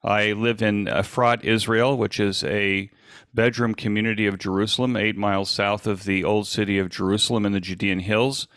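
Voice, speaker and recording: Low-voiced American man in his sixties. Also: level tone, moderate pace, fairly bright tone, dry recording, clean studio sound. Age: 60s. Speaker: presenter. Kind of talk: informal online presentation. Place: indoors at a desk, on a video call.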